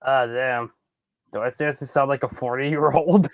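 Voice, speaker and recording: A man's voice: mid-range voice, fairly even intonation, normal speed, no room sound, clean studio sound. A man playing as a contestant in a casual quiz, joking and teasing as he answers.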